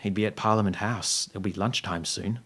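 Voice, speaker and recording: A dry, long-suffering male companion in an audio drama, acted by a man. His voice is on the low side. He stays measured, wry and unflappable. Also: steady tone, fairly bright tone, slightly rough. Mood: curious, businesslike.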